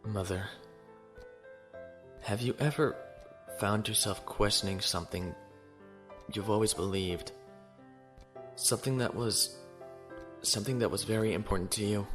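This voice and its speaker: Guy acting young space navy lieutenant, lowish voice, earnest n formal, troubled but principled, music behind him. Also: a little slow, pauses often, natural voice. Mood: troubled, subdued. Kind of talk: audio drama acting.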